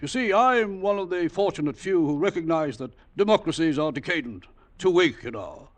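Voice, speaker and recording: Man playing smug English gentleman traitor, upper-class accent, breezy menace, animated highish voice, old radio drama. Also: moderate pace, close to an everyday voice. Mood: smug, menacing. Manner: affected, breezy, pompous. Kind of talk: 1940s radio acting. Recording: 1940s radio drama broadcast.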